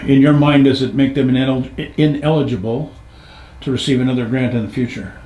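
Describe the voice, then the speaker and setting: A man's voice: mid-range voice, fairly even intonation, brisk, warm tone. A man hosting an informal interview, with a folksy, easygoing way of phrasing questions. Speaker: interviewer, show host. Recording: echoey room.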